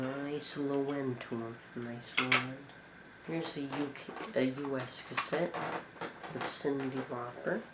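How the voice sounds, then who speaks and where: Man, mid-pitched voice, casual show-and-tell, halting informal phrasing, echoey noisy room. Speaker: cassette collector presenting a collection. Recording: echoey room with clear background noise.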